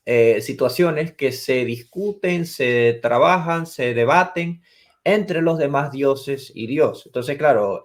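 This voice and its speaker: A man in his twenties from Venezuela, a theology teacher explaining terms step by step as a podcast guest. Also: medium pitch. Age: 20s. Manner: lecturing, defines terms step by step. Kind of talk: explanatory interview answers.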